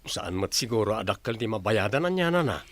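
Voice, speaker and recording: A man's voice: fairly low pitch, big pitch swings, fairly quick, no room sound. A man acting a polite, uneasy young boarder in an audio drama, respectful, with a Filipino Ilocano accent.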